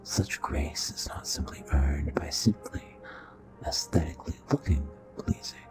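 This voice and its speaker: Man acting a very deep, whispery charming vampire. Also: unhurried, low-quality recording. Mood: intimate, admiring. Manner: courtly, seductive, often breathy half-whisper. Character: charming, possessive, ancient male royal vampire, courtly flirt. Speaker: audio roleplay voice actor. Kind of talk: intimate scripted romantic roleplay. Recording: soft voice over background music.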